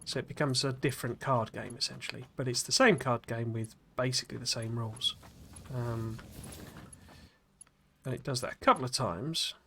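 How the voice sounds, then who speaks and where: A man chats casually while streaming a game, wandering off into long asides full of fillers and restarts. His voice sits at a medium pitch and carries a slight gruffness from a cold. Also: steady tone, moderate pace, bright, forceful tone, slight background noise. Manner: rambling, chatty, many fillers and restarts. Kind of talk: casual live-stream chat while playing.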